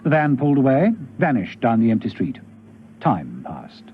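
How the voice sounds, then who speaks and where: An actor narrating a 1970s radio spy serial in a grave, dramatic style. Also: male voice, mid-range voice, wildly swooping pitch, normal speed, a little hiss or hum. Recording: dull vintage radio recording.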